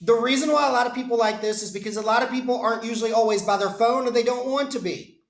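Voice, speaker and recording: High-voiced man demoing phone features. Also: fast, echoey room. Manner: conversational, explanatory, addresses viewers directly. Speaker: business phone system consultant. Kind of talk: screen-share product demo. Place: indoor room, webcam inset.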